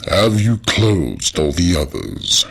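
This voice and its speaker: A man voicing a solemn, imposing undead jailer in a slow, weighty, gravelly and husky delivery for an audio drama. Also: low-pitched, lively intonation, fairly bright tone, heavily acted. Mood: grave, insistent.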